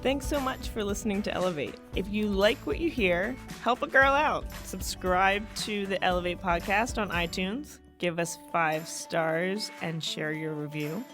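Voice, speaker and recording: A woman's voice: medium pitch, a little slow, hardly any pauses, fairly bright tone, faint music behind. A woman voiceover artist reading a scripted podcast outro in a friendly, polished way. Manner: friendly, polished promo read. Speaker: voiceover announcer.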